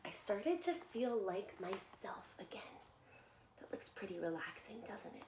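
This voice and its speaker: A woman reading a picture book aloud to young children in an animated, expressive way, often talking directly to them. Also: mid-range voice, a little slow, in a whisper. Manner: animated, addresses listeners with simple questions. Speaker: children's story reader and soccer coach. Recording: echoey room, faint background noise.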